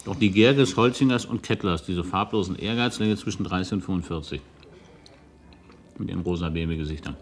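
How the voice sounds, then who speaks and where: A man's voice: low-pitched, a little fast. An actor in a German radio drama playing a nostalgic, ironic man who needles his old friend with musing questions. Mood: teasing, insistent.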